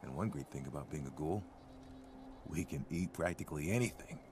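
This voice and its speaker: A man playing a gentle, timid, nature-loving ghoul gardener in a video game, speaking mildly, hesitantly and earnestly. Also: extremely low pitch, lively intonation, brisk, noisy background. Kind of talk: video game character dialogue.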